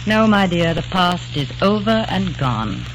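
An actress playing a sensible young woman who gently reasons with her fiancé, in an old radio drama. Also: fairly low pitch, animated, unhurried. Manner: soothing, practical, affectionate. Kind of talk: 1940s radio drama acting. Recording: noisy old radio recording.

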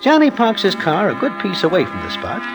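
An actor playing a streetwise New gambler sidekick who narrates in stiff formal slang, in an old radio drama style. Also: male voice, high voice, huge pitch swings, fairly quick, exaggerated voice. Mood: wry, reflective. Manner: stiff formal slang, present tense, no contractions. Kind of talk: 1940s-50s radio drama narration and acting. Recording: old radio recording with background music.

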